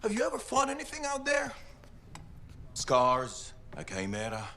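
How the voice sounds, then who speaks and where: A man playing a gruff, exasperated veteran pilot in a game cutscene, scolding and emphatic. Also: high voice, wildly swooping pitch, a little slow, pushed and bright, heavily acted. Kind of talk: video game cutscene acting. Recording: faint background noise and ambience.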